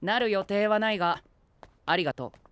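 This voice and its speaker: A man playing a cautious, courteous young gentleman in an anime-style voice drama, formal and precise. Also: sits high, fairly quick, clear, resonant tone. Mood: careful, polite.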